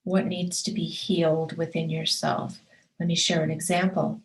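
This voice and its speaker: Woman, motivational host, earnest, emphatic, short repeated affirmations, slightly low voice. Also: little pitch movement, moderate pace, poor audio. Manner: earnest and encouraging, speaking straight to the listener. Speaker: author and publisher hosting her own show. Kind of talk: motivational talk.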